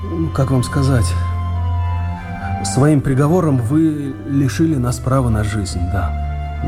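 An actor playing a condemned engineer in a radio drama, honest and humbly pleading, with a halting, deferential way of talking. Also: male voice, mid-range voice, lightly acted. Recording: reverberant radio play, faint music.

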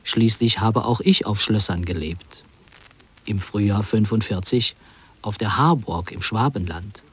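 Husky reflective male narrator. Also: lowish voice, unhurried. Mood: wry, reflective. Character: man recalling his wartime youth, wry, reflective narrator. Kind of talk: literary radio drama narration, scripted.

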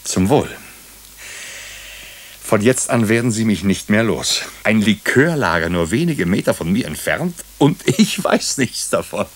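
Radio play doctor sleuth, really deep husky voice, dry humor, moves around a lot in pitch. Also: male voice, lively intonation, unhurried. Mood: wry, jovial. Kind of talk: German radio drama acting with first-person narration.

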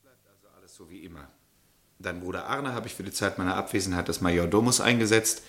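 A lowish-voiced, charming aristocratic husband. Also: male voice, fast, a little hiss or hum. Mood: breezy, placating. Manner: teasing, genteel, gently ironic. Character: easygoing, evasive aristocratic husband, charming and indecisive. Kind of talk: German radio drama acting.

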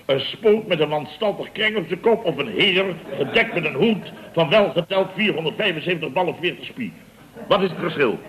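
An actor playing a blustering, self-important building contractor boss in a vintage radio comedy, with a pompous, rambling way of telling things. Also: male voice, on the high side, animated, a little fast, a bit bright. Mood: huffy, sarcastic. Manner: pompous, rambling, chuckling raconteur with exclamations. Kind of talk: 1960s-70s Dutch radio comedy acting. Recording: dull vintage radio recording.

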